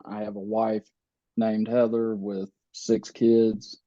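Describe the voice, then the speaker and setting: Thirties guy talking real slow, mellow low voice, lots of ums, kinda muffled dry sound. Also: flat delivery, dry recording. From Philippines, raised in the US. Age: 30s. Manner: slow, halting, many fillers and restarts. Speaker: podcast guest. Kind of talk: casual interview, telling his life story.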